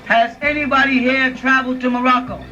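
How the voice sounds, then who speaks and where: High, bright-voiced man lecturing from afar. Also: level tone, fairly quick. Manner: emphatic, keeps checking in with listeners. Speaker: lecturer addressing an audience. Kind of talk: informal lecture. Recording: dull lo-fi audio from a distant mic.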